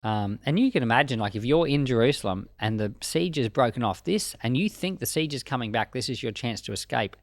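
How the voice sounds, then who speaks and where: Animated man giving informal Bible teaching. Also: medium pitch, no echo, clean studio sound. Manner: colloquial, conversational, with dramatized asides.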